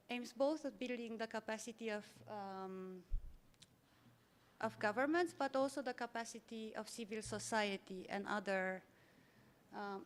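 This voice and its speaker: A woman moderating a workshop at a conference, explaining an organization's work in a fluent way with frequent fillers. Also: on the high side. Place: conference room.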